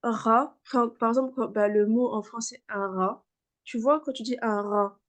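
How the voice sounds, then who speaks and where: A fairly high-voiced woman teaches a beginner class over a video call. She is patient, says each sound again and again, and fills her pauses with small hesitations. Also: steady tone. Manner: patient, repeats sounds many times, many fillers. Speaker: Arabic reading teacher.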